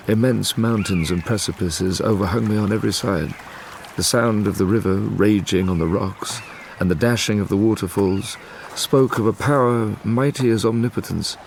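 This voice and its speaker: A guilt-ridden young British gentleman scientist for a gothic audio drama. The voice is very low and creaky, grave and brooding in its literary phrasing, with faint noise and ambience behind it. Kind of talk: audio drama narration and dialogue.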